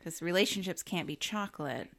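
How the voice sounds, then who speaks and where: A woman with a Southern US accent co-hosting a casual movie podcast. Her voice sits somewhat low for a woman but moves around a lot in pitch. She talks in a chatty, easygoing way and laughs easily. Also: expressive, a little fast, gravelly, a bit bright. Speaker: podcast co-host. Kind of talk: casual movie-review chat.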